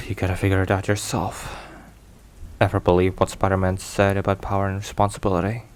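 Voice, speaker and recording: A man playing a weary, caring mafia boss boyfriend in an ASMR roleplay, hushed and sighing between phrases. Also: low voice, completely flat delivery, brisk, clean tone, close to an everyday voice. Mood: weary, confiding.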